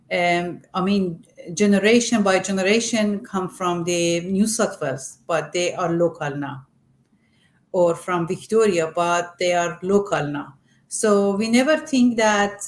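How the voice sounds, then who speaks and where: A middle-aged or older woman from Iran with a Persian accent, speaking non-native English haltingly and searching for words as she explains her work in an interview. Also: medium pitch. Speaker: arts group representative being interviewed.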